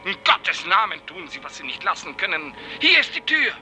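An actor playing a pompous, capricious, commanding despot in a 1950s radio play. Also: male voice, sits high, very animated, moderate pace, bright, forceful tone, soft background music, exaggerated voice. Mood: scornful. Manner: imperious, haughty, commanding. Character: pompous, capricious male despot, a general director in a dream.

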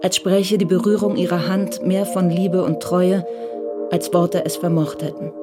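A woman's voice: fairly low pitch, level tone, a little slow, close to an everyday voice. A woman giving scripted literary narration in a radio crime drama. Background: music.

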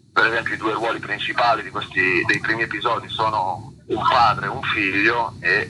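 A man being interviewed about his theatre work, speaking in an articulate, reflective way and searching for words with pauses and repetitions. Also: on the low side, noisy background.

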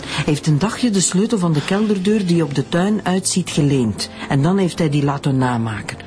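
An actress with a Flemish accent playing an anxious, middle-aged schoolteacher suspected of murder, protesting in a radio drama. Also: female voice, low voice, expressive, normal speed, a little mellow, soft background music. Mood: distressed, insistent. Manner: agitated, protesting.

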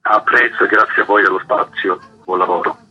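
A man with a husky voice, explaining things as a guest festival organiser in a radio interview over the phone. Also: low voice, steady tone, a little fast, muffled recording, faint music behind.

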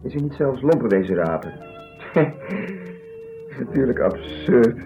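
A defensive newspaperman in a radio play, sardonic and easily irritated, his pitch swinging widely. The recording is a bit dull, with faint music underneath. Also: very animated, measured pace. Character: defensive, exasperated newspaper journalist and husband. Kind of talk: naturalistic radio drama acting.

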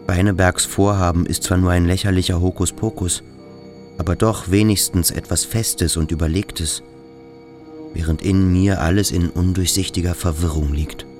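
A very deep voice from a man acting as a detached, sceptical schoolboy in a radio play. He is reserved and questioning, inwardly troubled, with faint music behind him. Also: steady tone, normal speed, a little mellow, close to an everyday voice.

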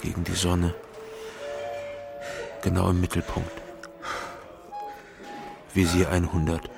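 Haunted, weary detective, low and gravelly. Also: male voice, completely flat delivery, exaggerated voice. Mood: bitter, haunted. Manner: halting, weary, brooding. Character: haunted male East Berlin private detective, ex-border soldier, heavy drinker. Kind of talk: radio drama dialogue and brooding first-person narration. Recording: music behind the voice.

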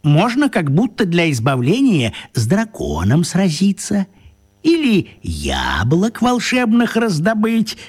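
An actor playing a fussy, apologetic, absent-minded wizard in a children's radio play, hesitant, rambling and fussily polite. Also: male voice, high-pitched, huge pitch swings, slow, darkish tone, slightly rough, full character voice. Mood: apologetic, flustered.

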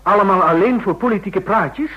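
A higher-voiced, sceptical official. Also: male voice, moderate pace, slightly rough. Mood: sceptical, baffled. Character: sceptical male government official in crisis council. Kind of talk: radio drama acting. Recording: dull, lo-fi radio drama recording.

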